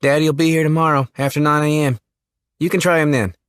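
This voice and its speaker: A man's voice: on the high side, fairly even intonation, a little fast, clean tone, voice pushed up, lightly acted. A man voicing a shy, nervous young man who works at his father's funeral home. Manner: jumpy, rural, keeps deferring to Daddy.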